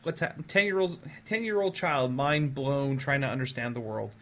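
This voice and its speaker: A man's voice: medium pitch, moderate pace, fairly bright tone. A man hosting a podcast in casual, conversational chat. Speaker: podcast host. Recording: noticeable background noise.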